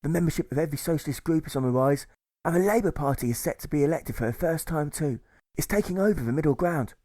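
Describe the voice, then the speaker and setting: A man puts on a genteel suffragist woman from a storybook. She declaims in a raised, high voice with impassioned flair. The sound is dry and close. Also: quick pace, mellow, no echo, close to an everyday voice. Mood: hopeful. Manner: flamboyant, declamatory, speechifying.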